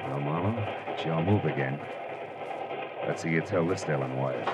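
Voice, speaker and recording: An actor playing a terse, sardonic hard-boiled private detective, narrating in the style of 1940s radio drama. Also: male voice, very deep voice, moderate pace, heavy background noise. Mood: grim. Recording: old radio recording, dramatic music behind.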